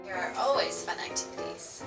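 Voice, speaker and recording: A woman's voice: brisk, fairly bright tone. Lady narrating from a script, music under it, slightly distant.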